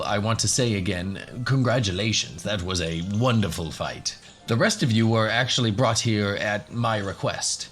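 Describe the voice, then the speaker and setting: Courteous low-voiced professor played by a man. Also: natural voice. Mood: welcoming, polite. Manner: polite, slightly hesitant, explanatory. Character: courteous male professor hosting a band of adventurers.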